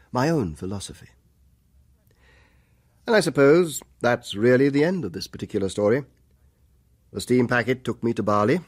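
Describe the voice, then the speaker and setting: Husky, urbane doctor. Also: male voice, medium pitch, no room sound. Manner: urbane, measured, literary phrasing. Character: worldly, detached male doctor recounting his travels. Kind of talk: radio drama acting and first-person narration.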